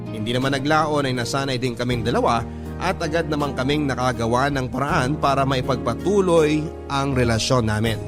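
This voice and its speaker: A man's voice: medium pitch, natural voice. A man narrating a letter of college heartbreak in scripted radio storytelling style. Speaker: radio drama host reading a letter. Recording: music bed under the voice. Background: background music.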